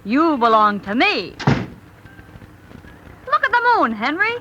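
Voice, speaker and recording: Gushy bossy teen girl, 1930s radio comedy, sharp bright voice, slightly noisy old recording. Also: sits high, big pitch swings, takes it slowly, dull audio, heavily acted. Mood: coy, eager.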